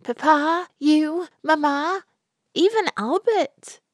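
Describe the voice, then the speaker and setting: A woman acts out a wronged young bride from a storybook, defiant and bitter. Her voice leaps high and turns shrill with emotion. The sound is close and dry. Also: slow, no room sound. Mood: bitter anger.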